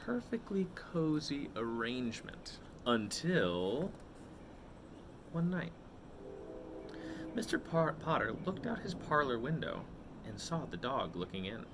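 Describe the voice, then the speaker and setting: Male children's read-aloud host. Expressive storybook delivery, very varied pitch, leisurely tempo. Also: takes it slowly, long pauses, background noise. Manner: greets and addresses young listeners directly.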